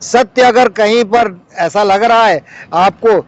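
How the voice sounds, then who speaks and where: Male monk interviewee, high voice, argues emphatically; dull audio over vehicle noise. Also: slow. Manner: emphatic, argues with repetition and rhetorical questions. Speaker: Buddhist monk being interviewed. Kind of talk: impassioned interview answers.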